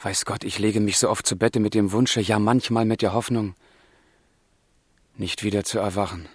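A man's voice: fairly low pitch, fairly even intonation, normal speed, slightly rough, dry recording. An actor playing a passionate, tormented young man in a literary radio drama, speaking in formal, intense language. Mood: anguished, passionate. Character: passionate, tormented young man of feeling, letter-writing lover. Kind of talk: German literary radio drama, monologue and dialogue.

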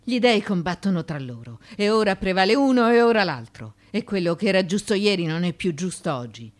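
A woman's voice: mid-range voice, very animated, a little fast, lightly acted. A woman acting in a radio drama as a proud, anguished former slave turned wife in ancient Athens, with an impassioned, theatrical delivery. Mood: defiant, impassioned.

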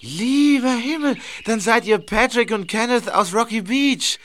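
An actor playing a gruff husband in a German audio drama. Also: male voice, very high voice, unhurried, a bit bright, a little hiss or hum. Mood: relieved, explaining. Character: gruff armed husband, suspicious then apologetic.

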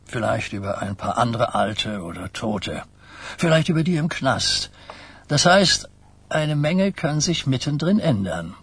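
Radio crime drama: an aging New York godfather, husky and deliberate, weary of secrets, guarded yet courteous. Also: mid-range voice, big pitch swings, a bit bright, dry recording, full character voice. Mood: candid. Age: older. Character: old New York mafia boss, burdened, wary, courteous. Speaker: actor.

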